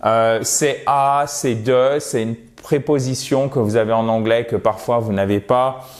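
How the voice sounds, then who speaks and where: A man's voice: mid-range voice, steady tone, unhurried. A man teaching English vocabulary in a video lesson, patient and explanatory, a native French speaker who repeats words to model their pronunciation.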